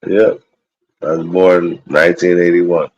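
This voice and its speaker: A man talks casually and profanely on a live stream. His voice sits very low. The sound is dry and close. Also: flat delivery, measured pace, a little mellow, no echo, lo-fi sound. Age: 40s. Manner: loose, profane street talk. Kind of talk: casual chat.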